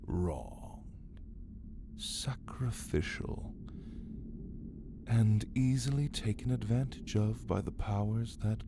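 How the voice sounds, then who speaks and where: A man playing an ancient, arrogant male vampire in an ASMR roleplay, taunting the listener theatrically with drawn-out, partly whispered words. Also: deep voice, vocal fry, noisy background, full character voice. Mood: condescending, knowing.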